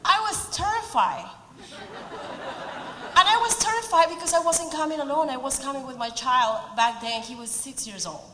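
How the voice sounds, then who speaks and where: Peruvian-accented woman in her forties, higher pitch, earnest event speaker, reverberant hall. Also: moderate pace, background noise. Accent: Peruvian Spanish. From Peru. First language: Spanish. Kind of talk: prepared speech.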